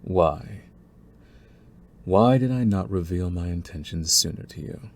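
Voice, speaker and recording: Man acting as young crime boss, very low husky voice, deliberate, falsely courteous, cunning, intimate ASMR roleplay. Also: darkish tone. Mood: mocking, condescending. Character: young male mafia heir, falsely meek, cunning, menacing, condescending.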